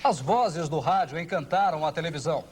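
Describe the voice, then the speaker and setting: A man's voice: fairly high pitch, brisk, a little hiss or hum. A man reading scripted television documentary narration with a wry, literary delivery.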